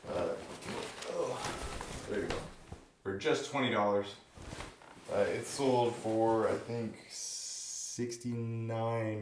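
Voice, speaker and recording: A slightly hoarse man, who is sick and losing his voice, recapping his golf club sales in a chatty way. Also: deep voice, a little mellow. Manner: chatty, explanatory, with frequent fillers. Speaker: golf club reseller and vlogger. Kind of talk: casual to-camera sales recap. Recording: roomy echo.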